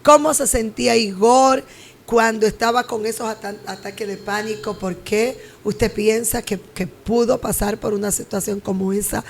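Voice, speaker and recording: Lady pastor interviewing, fry in her voice, kinda preachy. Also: on the high side, fairly even intonation, normal speed. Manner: preachy, exhorting. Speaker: pastor and host.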